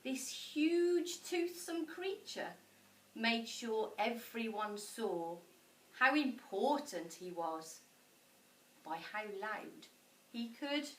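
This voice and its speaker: A woman reading a picture book to children in an expressive, dramatic style with deliberate pauses. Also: on the high side. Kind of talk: expressive storybook narration for children. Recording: echoey room, distant mic.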